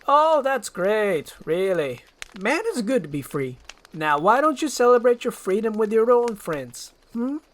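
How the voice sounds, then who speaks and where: A woman voicing a grumpy, solitary male ogre with a gruff, curt and sarcastic manner. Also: fairly high pitch, very animated, unhurried, pitched above the performer's own voice. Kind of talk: amateur screenplay voice acting.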